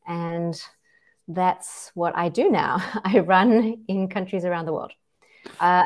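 A middle-aged woman from South Africa, her accent blended with Australian tones. She talks earnestly and with energy as a podcast guest, pausing on fillers. Her voice sits a little low for a woman. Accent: South African with Australian and French influence. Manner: earnest, lively, many fillers. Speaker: guest, activist. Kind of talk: interview, personal account.

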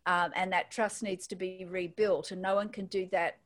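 A woman's voice: on the low side, flat delivery, normal speed, fairly bright tone. Thoughtful Australian woman. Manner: thoughtful, hesitant, restarts phrases. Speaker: guest. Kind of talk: casual spiritual conversation.

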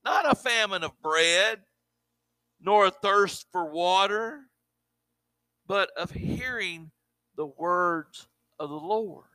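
High bright-voiced pastor preaching. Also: male voice, dry recording, low-quality recording. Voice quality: monotone. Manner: halting, repeats words. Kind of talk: sermon.

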